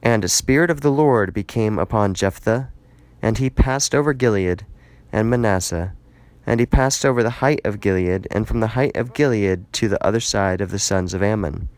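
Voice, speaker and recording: A man narrating scripture aloud in a measured, dramatic reading style, phrasing deliberately. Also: on the low side, no room sound.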